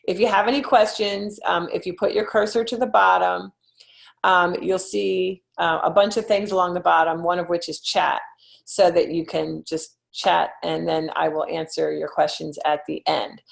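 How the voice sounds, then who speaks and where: A woman's voice: on the low side, creaky. A middle-aged woman with a Philadelphia accent, a real estate coach giving a chatty online presentation full of fillers and "okay?" check-ins. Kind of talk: webinar presentation.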